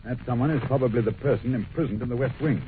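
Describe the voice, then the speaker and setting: A man acts a masterful radio-drama sleuth with a medium-pitched voice. He is brisk, incisive and sure of himself, on a phone-like old broadcast with faint noise. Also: lightly acted. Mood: analytical, grave. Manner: incisive, commanding, self-assured. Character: brisk, masterful, analytical detective. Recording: tinny old radio recording, faint hiss.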